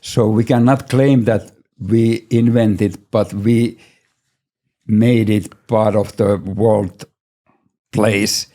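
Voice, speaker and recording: A man's voice: on the low side, fairly even intonation, drawn-out words, dark tone. A man speaking as a guest in a casual panel conversation, giving plain, brief answers. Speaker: guest, sauna business owner.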